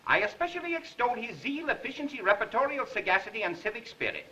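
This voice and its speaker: Fussy, high-pitched, wildly swooping official. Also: male voice, big pitch swings, fast talker, bright, forceful tone, slight background noise, exaggerated voice. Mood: pompous. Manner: pompous, flowery, stammering. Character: fussy, pompous, flustered male police secretary. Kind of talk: 1930s film acting. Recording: dull lo-fi 1930s film soundtrack.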